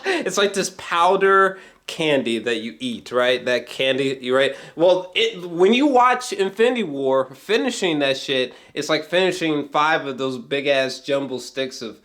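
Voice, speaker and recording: A man's voice: a little high, animated, a bit bright. A man chatting casually as an amateur film reviewer, geeky and gushing, swearing freely and often stumbling back over his words. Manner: halting, repeats himself, often breaks into chuckles.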